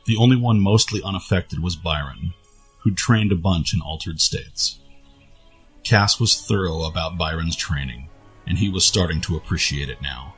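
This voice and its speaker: A man's voice: deep voice, moderate pace, fairly bright tone. A man narrating an action audio drama in a scripted storytelling style. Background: music.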